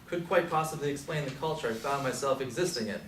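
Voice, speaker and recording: An actor playing an aging, skeptical Beat theorizer who explains and declaims in a stage play. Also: male voice, medium pitch, fast talker, a bit bright, natural voice. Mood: musing. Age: older. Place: live stage performance recorded from a distance. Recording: echoey, distant mic, faint noise.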